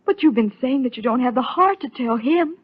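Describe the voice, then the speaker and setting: High-voiced, anxious wife. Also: female voice, expressive, brisk, slightly rough. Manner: urgent, fretful. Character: anxious, conscience-stricken doctor's wife Nina. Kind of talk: 1930s radio soap opera acting. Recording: muffled old radio recording.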